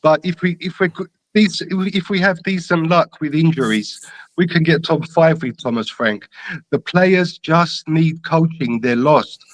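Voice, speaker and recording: A man with a North London accent tinged with Greek Cypriot and slight Australian, giving emphatic, deliberate opinions as a caller in casual football chat. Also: a little high, no echo, rough recording. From London, England. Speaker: caller to a football fan show.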